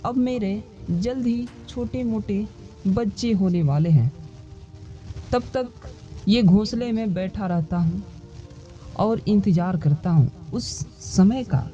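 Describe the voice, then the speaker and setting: Slow-talking little mother bird played by a narrator. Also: mid-range voice, dark tone, lightly acted. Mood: expectant. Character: small chatty mother bird, grateful and eager. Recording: dull lo-fi sound, music behind.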